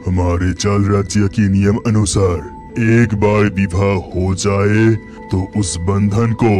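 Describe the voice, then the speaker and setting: A man voicing a solemn, kindly water god in a cartoon, measured and formal. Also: lowish voice, steady tone, darkish tone. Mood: solemn, benevolent. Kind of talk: cartoon character acting. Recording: music behind the voice.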